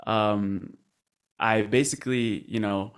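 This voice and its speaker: A man's voice: on the low side, steady tone, slow. A man in his twenties from Colombia, a podcast guest telling his story in an earnest, reflective way. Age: 20s. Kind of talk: casual podcast interview.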